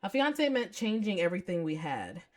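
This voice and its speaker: A woman's voice: medium pitch, big pitch swings, fairly quick, darkish tone, close to an everyday voice. A woman voicing a bitter, lovelorn young man who broods and narrates his own visual novel story. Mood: wistful, brooding.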